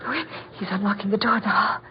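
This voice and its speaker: An actress playing a refined, anxious soprano star in a 1940s style radio drama, theatrical and breathless. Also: female voice, medium pitch, moderate pace, natural voice. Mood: frightened. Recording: very noisy, muffled old radio recording.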